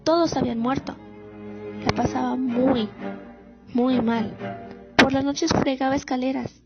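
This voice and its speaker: A woman's voice: high-pitched, fairly even intonation, measured pace. A woman playing a proud, wounded female war veteran recounting memories in a radio drama monologue. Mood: reflective, pained. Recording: muffled audio with music underneath.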